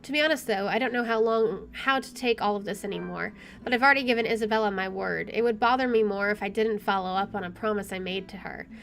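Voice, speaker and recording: A woman's voice: mid-range voice, fast, gravelly, hardly any pauses, a bit bright. A woman let's player reading a visual novel aloud expressively with chatty asides, game music playing behind her.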